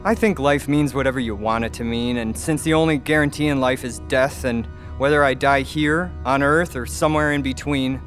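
An actress playing a blunt, cynical colony crew member with a sarcastic manner in an audio drama. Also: female voice, extremely low pitch, brisk, hardly any pauses, faint music behind. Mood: resigned.

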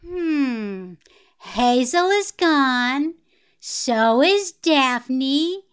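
An actress playing a flighty, giggly fairy aunt in a fairytale audio drama, dithering and muttering to herself. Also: female voice, sits high, expressive, extremely slow, heavily acted. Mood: gleefully sneaky.

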